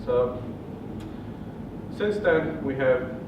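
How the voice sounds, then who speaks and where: A man from Bulgaria in his thirties with a Bulgarian accent, telling his story at a property seminar with earnest pauses and fillers. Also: mid-range voice, lively intonation. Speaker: property investor presenter. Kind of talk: seminar presentation. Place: hotel function room. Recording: muffled, distant, echoey room with noise.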